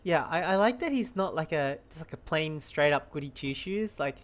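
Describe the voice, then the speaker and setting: A woman's voice: sits low, animated, moderate pace. A woman giving casual, thinking-aloud commentary while she plays a video game. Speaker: game streamer.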